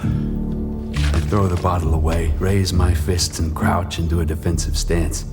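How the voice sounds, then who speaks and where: An actor playing a bitter, regretful washed-up boxer, narrating a noir audio drama in brooding, terse sentences. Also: male voice, sits low, little pitch movement, a little slow, over loud music. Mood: wary.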